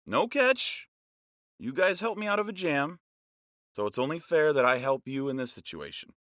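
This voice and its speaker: A man playing a smooth-talking, loyal rogue guide in a fantasy drama. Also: fairly high pitch, animated, moderate pace, fairly bright tone, no echo, clean studio sound. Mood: casual, defensive. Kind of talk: fantasy audio drama acting.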